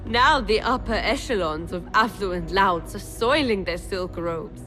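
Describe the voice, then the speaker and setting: An actress playing a wry, disdainful informant in a video game, articulate and dryly scornful. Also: female voice, medium pitch, lively intonation, unhurried, bright, forceful tone, faint music behind. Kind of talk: video game cutscene dialogue.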